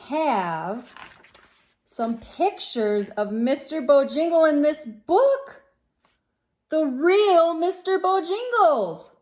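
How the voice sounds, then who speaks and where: A woman hosts a read-aloud for children in a fairly high voice. She takes her time, reading at a very slow pace. Her delivery is expressive and full of exclamation, addressed straight to young listeners.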